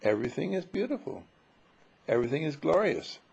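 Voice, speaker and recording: A man giving an informal spiritual sermon full of rhetorical questions, emphatic and dramatic, with a husky, gravelly voice. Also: a little high, sing-song, brisk, darkish tone, highs cut off. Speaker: spiritual teacher.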